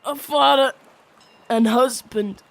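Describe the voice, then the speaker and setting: A woman playing a world-weary character with a creaky voice in a comedic noir audio drama. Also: sits high, takes it slowly, exaggerated voice, low-quality recording. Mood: dying, strained. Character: dying detective or wary street dealer, world-weary.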